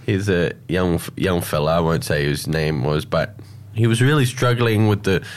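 An Australian man hosting a radio show, chatting in a relaxed, storytelling way with plenty of fillers. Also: low-pitched, normal speed. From Alice Springs, Australia. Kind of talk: casual radio chat.

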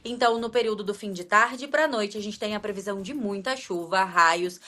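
A young woman from Espírito Santo with a mixed Espírito Santo and Minas Gerais accent, giving a radio weather report in a clear, measured way. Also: medium pitch, pushed and bright. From Espírito Santo, Brazil.

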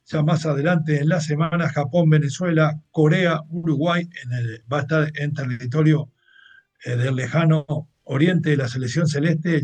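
A husky-voiced Mexican man runs a football talk segment, running through fixtures and adding his own opinions. His voice sits a little high and carries a slight rasp. His delivery is chatty and conversational rather than scripted. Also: darkish tone. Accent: Mexican Spanish. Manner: chatty, opinionated, translating clips. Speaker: football show host. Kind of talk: casual sports commentary monologue.

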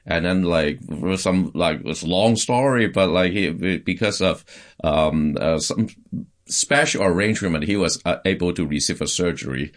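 A man from Hong Kong, a pastor being interviewed, speaking haltingly with many fillers and restarts. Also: low-pitched. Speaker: pastor and guest. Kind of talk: conversational interview.

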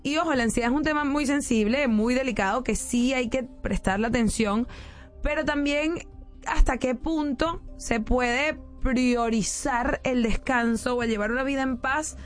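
A young woman in her late twenties hosting a talk show, chatty and animated, inviting listeners to call in. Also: high voice, normal speed, faint music behind. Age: late 20s. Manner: chatty, animated, addresses callers. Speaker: radio host. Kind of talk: casual talk show chat.

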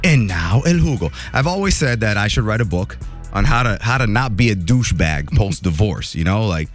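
A man hosting a morning radio show, telling entertainment news in a jokey, animated way. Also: normal speed, soft background music. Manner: animated, jokey, voice jumps high for emphasis.

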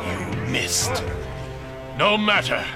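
A high-pitched, commanding mob leader. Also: male voice, very animated, extremely slow, creaky, full character voice. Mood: menacing. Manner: imperious, theatrical, taunting. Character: harsh, commanding male mob leader enforcing the law. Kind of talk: dramatized radio play acting. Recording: loud music and crowd behind.

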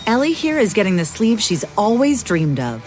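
Lively woman narrating an ad. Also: mid-range voice, normal speed. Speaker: commercial narrator. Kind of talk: playful scripted ad voice-over. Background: faint music.

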